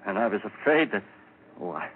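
On a narrow, faintly hissy vintage radio recording, a guilt-ridden murderer in melodramatic horror style. His voice swings widely in pitch, halting and stammering as he tries to hide his crime. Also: very animated. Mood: nervous panic. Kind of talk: 1940s radio horror drama acting. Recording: scratchy, narrow-band old radio recording.

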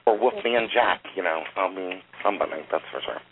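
A man's voice: sits low, brisk. A man in his forties with a Southern US accent, co-hosting a casual talk radio show in a wry, joking way. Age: 40s. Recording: phone-line sound with faint noise.

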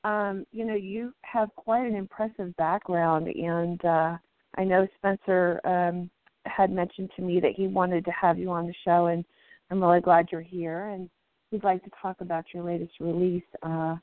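A woman hosting a live indie music show, raspy from the flu, talking haltingly with many ums. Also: lowish voice, flat delivery, no room sound. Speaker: radio show host. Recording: tinny phone-like line.

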